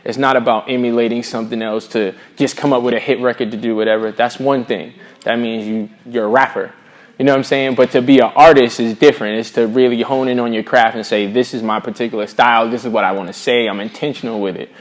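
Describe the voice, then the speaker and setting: A man's voice: medium pitch, steady tone, fast. A middle-aged man from Boston with an American accent, speaking as a singer-songwriter in a casual interview, earnest and reflective with plenty of slang. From Boston, USA.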